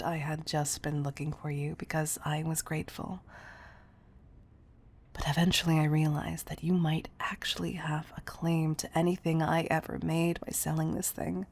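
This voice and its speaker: A woman playing a wealthy, obsessive CEO in an intimate audio roleplay, speaking in a breathy, gravelly murmur that is affectionate and confessional. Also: low-pitched, darkish tone, heavily acted. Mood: confiding, wistful. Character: wealthy obsessive female CEO, tender yet controlling. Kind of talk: intimate audio roleplay monologue, partly whispered.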